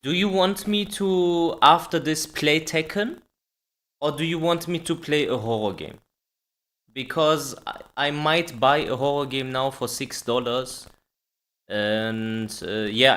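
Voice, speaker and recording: A man's voice: mid-range voice, fairly bright tone. A man hosting a livestream, chatting informally and speaking straight to his viewers. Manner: informal, addressing viewers directly. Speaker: livestream host. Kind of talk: casual chat to stream viewers.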